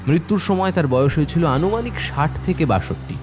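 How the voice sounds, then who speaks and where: A man's voice: a little high, expressive, brisk, over music. A man narrating a scripted horror cartoon as a dramatic storyteller.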